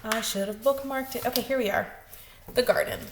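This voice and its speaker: A woman's voice: on the high side, a little fast, background noise. A woman preschool teacher hosting story time, speaking directly to young children as she greets them and reads.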